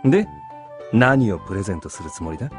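A man playing a roguish, self-important older beast hunter and mentor in a Japanese radio drama, teasing and rough in his speech. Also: on the low side, sing-song, quick pace, over music. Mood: smug, teasing.

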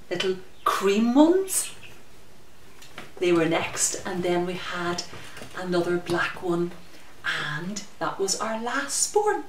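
A middle-aged woman hosts a story time for small children. She speaks very slowly and expressively, stretching out her words in a sing-song way. The recording is roomy and a little distant, with faint noise. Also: lowish voice. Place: glass-walled conservatory at home. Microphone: camera mic a little way off.

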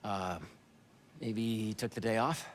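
An actor playing an excitable, earnest young man with new superpowers in a video game, talking in a casual, boyish way over music. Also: lowish voice, slow, slight background noise. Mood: nervous. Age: young adult. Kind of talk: video game cutscene acting. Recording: music behind the dialogue.

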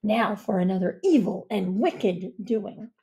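A scheming badger ringleader, as a woman reading aloud puts him on barks orders in a gruff, loud voice. He sounds commanding and gravelly, with a dark, mellow tone. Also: mid-range voice, unhurried. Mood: bossy.

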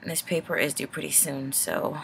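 A woman's voice: sits low, lively intonation, moderate pace, vocal fry, fairly bright tone, a little hiss or hum, poor audio. A young woman giving a casual, rambling channel update in a hesitant, apologetic, nervous way. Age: young adult.

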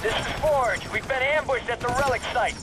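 An actor playing a tough marine sergeant calling for reinforcements under fire. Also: male voice, very high voice, normal speed. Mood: urgent. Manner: urgent radio calls. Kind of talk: video game cutscene acting. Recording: battle noise around.

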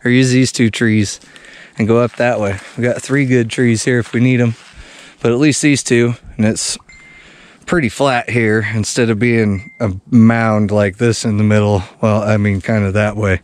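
A man's voice: fairly low pitch, steady tone, normal speed. A man in his thirties casually narrating a vlog outdoors in a forest, talking in a laid-back, folksy, rambling way into a handheld camera. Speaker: vlogger. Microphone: handheld action camera.

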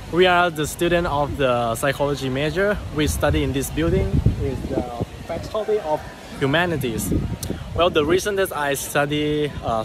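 A man in his twenties, a psychology student, giving a casual vlog tour of his university campus outdoors, talking into a phone held at arm's length and pausing with fillers. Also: on the high side, noisy background. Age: 20s. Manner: halting, with frequent fillers.

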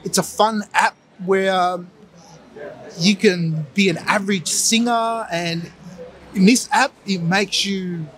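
A middle-aged man, raised in New Zealand, talking casually as a guest in a small studio, relaxed and hesitant, with many ums, into a handheld mic. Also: high-pitched, slow. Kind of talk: casual chat on a live stream.

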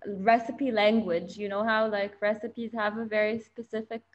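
A young woman talks over a video call from her home. She hesitates often, fills pauses, and drops Arabic words into her sentences. Her pitch sits in an ordinary middle range. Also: little pitch movement, lo-fi sound. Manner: hesitant, many fillers, mixes in Arabic words. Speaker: workshop participant and translator. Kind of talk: panel discussion on a video call. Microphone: laptop mic on a video call.

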